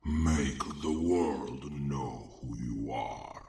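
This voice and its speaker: Distant, echoing, very deep male dream spirit. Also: creaky, darkish tone, a little hiss or hum, exaggerated voice. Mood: ominous, urging. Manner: drawn-out, ominous urging. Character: mysterious, commanding male voice from a dream. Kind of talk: fantasy ASMR roleplay acting.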